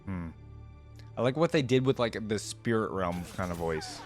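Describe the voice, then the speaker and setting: A woman's voice: a bass voice, animated, normal speed, darkish tone, faint music behind. A woman hosting a reaction video, talking in a casual, musing, conversational way. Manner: musing, conversational, often echoes lines back.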